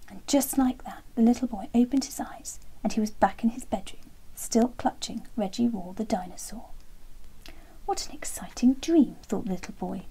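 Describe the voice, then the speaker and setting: Female storyteller for children's bedtime tales: mellow, breathy, gentle and expressive. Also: a little high, moderate pace, in a whisper, poor audio.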